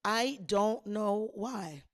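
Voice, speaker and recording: Slightly hoarse woman telling stories. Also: mid-range voice, very slow. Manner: chatty and emphatic, talks straight to listeners. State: a little hoarse. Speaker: podcast host. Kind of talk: casual solo storytelling.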